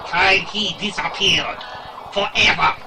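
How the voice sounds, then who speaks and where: A ruthless male gang boss in a children's radio-style adventure play. His voice is fairly high for a man, cold and clipped with menacing authority, over clear background noise. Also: takes it slowly, full character voice. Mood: coldly menacing. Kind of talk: children's audio drama acting. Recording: noisy older dramatised audio recording.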